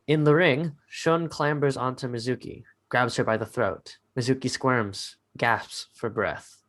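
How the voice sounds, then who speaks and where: A man reads scripted narration in a medium-pitched voice. He serves as narrator, delivering stage directions for a dramatic reading. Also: fairly even intonation, a little slow, fairly bright tone.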